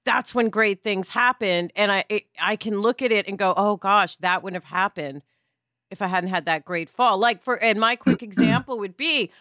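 A woman's voice: medium pitch, moderate pace, bright, forceful tone, no echo, studio quality. A middle-aged woman hosting a podcast discussion, talking emphatically and often checking in with rhetorical questions. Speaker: podcast host.

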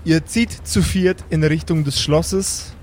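A man's voice: on the high side, fairly even intonation, slow pace, a little mellow, slight background noise. A man acting as game master, improvising the narration of a fantasy tabletop audio game.

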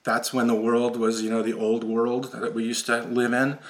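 A man's voice: mid-range voice, monotone, normal speed. A man hosting a podcast, talking earnestly and enthusiastically, with frequent ums and uhs. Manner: earnest, enthusiastic, filler-heavy. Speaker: podcast host. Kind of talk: casual podcast interview.

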